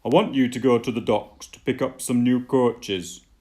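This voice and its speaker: A man voicing a stern, commanding male railway controller in a children's storybook, loud and authoritative. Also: fairly even intonation, moderate pace, natural voice. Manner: booming, authoritative.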